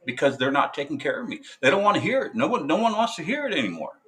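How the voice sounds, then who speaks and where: A veteran man talking to camera in a casual monologue, halting and hesitant, full of filler sounds and restarts, blunt and profane. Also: a little high, big pitch swings, pushed and bright. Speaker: military veteran.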